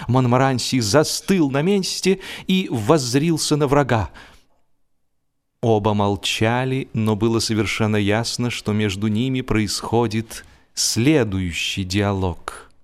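Slow-paced male narrator of comic fiction. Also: mid-range voice. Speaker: audiobook narrator. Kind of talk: expressive humorous literary narration.

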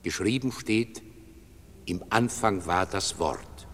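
A brooding scholar in a stage drama, speaking solemn verse slowly and fairly low, in a very noisy recording. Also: male voice. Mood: solemn, pondering. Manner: solemn, deliberate. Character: Faust, brooding male scholar, solemn and searching. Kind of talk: declaimed classical stage verse.

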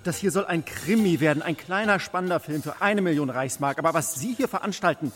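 An actor playing an anxious, exasperated film production manager in a German radio drama, flustered and husky. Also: male voice, fairly high pitch, brisk, fairly bright tone, lightly acted. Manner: flustered, hesitant, blustering. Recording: reverberant hall with music bed.

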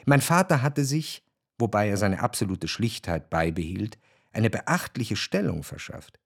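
A man narrating a literary radio drama, phrasing long, scripted sentences in a measured way. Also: fairly low pitch, very animated, creaky, husky, no room sound, clean studio sound. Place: dry studio.

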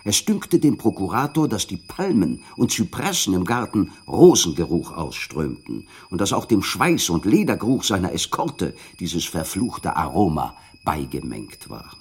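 A man narrating a literary radio drama in a measured, solemn storytelling manner. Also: sits low, darkish tone, slightly rough.

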